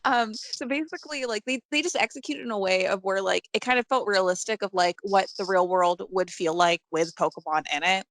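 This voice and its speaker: A woman with a mid-pitched voice chats casually as a podcast guest. She is upbeat and playful, recorded close and dry with no room sound. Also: brisk, hardly any pauses, a bit bright, no echo. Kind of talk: casual chat.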